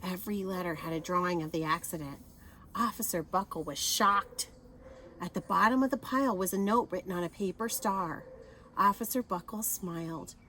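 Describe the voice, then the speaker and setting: A woman reading a picture book aloud to children in an expressive manner, adding asides and questions for her young listeners. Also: fairly low pitch, normal speed, a little hiss or hum.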